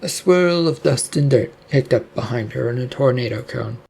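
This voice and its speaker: A man with a raspy voice reading scripted story narration. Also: medium pitch, moderate pace, darkish tone.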